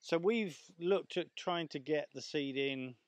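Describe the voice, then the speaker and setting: A man's voice: a little high, a little slow, dry recording. A middle-aged Englishman with a chatty, rambling manner, presenting lawn care outdoors in a large garden on a lapel mic. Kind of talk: casual outdoor demonstration. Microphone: clip-on lapel mic.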